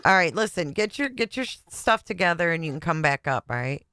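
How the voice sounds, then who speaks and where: A woman's voice: fairly low pitch, animated, brisk, pushed and bright, clean tone. A woman hosting a casual live call-in stream, bossy and teasing with the people she talks to.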